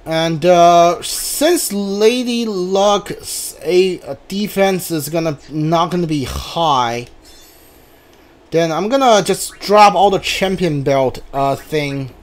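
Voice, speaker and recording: A man giving casual live commentary on a game, thinking aloud in a hesitant, halting way. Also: high-pitched, expressive. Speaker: game streamer.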